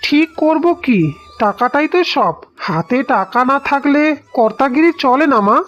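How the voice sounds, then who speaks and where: Woman acting as harried village husband, plaintive, cartoon drama, fairly high pitch, lo-fi recording. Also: lively intonation, moderate pace, fairly bright tone, slight background noise. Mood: stressed, complaining. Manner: plaintive, complaining. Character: harried, worn-out village husband drowning in debt. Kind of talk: Bengali cartoon story acting. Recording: dull, lo-fi sound under music.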